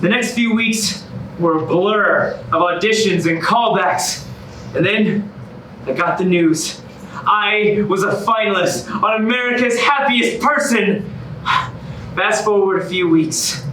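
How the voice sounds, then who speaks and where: A man's voice: high-pitched, takes it slowly, bright, forceful tone, slight background noise, full character voice. An actor playing an eager, earnest man desperate to win back his ex, in a theatrical musical comedy monologue. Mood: excited. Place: staged reading in a reverberant room. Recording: echoey room, distant microphone.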